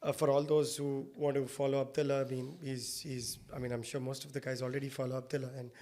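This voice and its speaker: A man's voice: mid-range voice, little pitch movement, fast, mellow. A man hosting a podcast, a doctor asking a guest questions in a friendly way. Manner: friendly, asks questions at length. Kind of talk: casual podcast interview.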